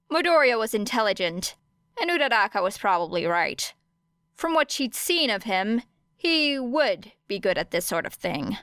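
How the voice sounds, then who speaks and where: Clear-voiced woman narrating a story. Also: medium pitch, fairly bright tone, no echo, clean studio sound. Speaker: audiobook narrator of fan fiction.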